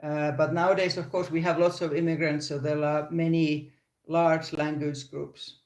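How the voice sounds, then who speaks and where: A woman's voice: sits low, flat delivery, moderate pace, a little mellow, roomy sound. An older woman with a Finnish accent, hosting a casual live crochet stream from her phone, often restarting sentences and laughing. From Finland. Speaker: crochet channel host.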